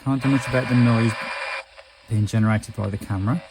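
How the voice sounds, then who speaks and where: A man's voice: mid-range voice, steady tone, brisk. An English man giving a casual hands-on product review, chatting through each step as he goes. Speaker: reviewer of budget radios. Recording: noisy camera microphone, faint hiss.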